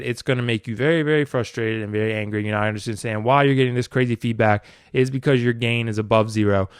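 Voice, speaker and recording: A man's voice: medium pitch, clear, resonant tone, no room sound, studio quality. A man giving a casual, hands-on tutorial. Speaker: tutorial video maker.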